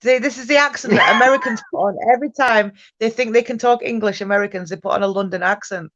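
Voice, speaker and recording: A woman's voice: on the high side, quick pace, fairly bright tone, no room sound, dull audio. A young woman with a Yorkshire accent, laughing often and trading blunt, sweary banter in a casual late-night chat. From born in Cambridge, raised in Yorkshire.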